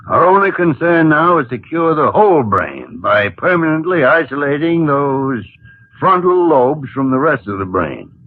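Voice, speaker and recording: An actor playing a gruff, blustering older senior doctor in a 1950s radio drama. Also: male voice, on the high side, big pitch swings, measured pace, no room sound. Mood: explaining. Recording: tinny lo-fi 1950 radio broadcast.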